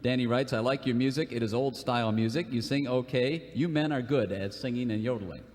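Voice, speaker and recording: A man's voice: medium pitch, brisk, a little mellow, big-room sound. A man reading letters aloud and bantering in a folksy, joking way on a live comedy radio show. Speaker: radio show performer and singer.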